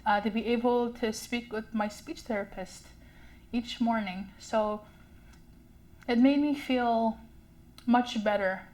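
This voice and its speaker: A young woman with a stutter speaks slowly and haltingly, repeating sounds and leaning on fillers. Her voice sits fairly high, and she takes her time with each phrase. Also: fairly even intonation, darkish tone. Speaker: community member sharing a story. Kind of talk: personal testimony.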